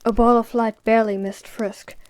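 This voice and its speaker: A woman's voice: on the high side, little pitch movement, moderate pace, a little mellow. A woman narrating an audio drama in a scripted storytelling style, with music behind her.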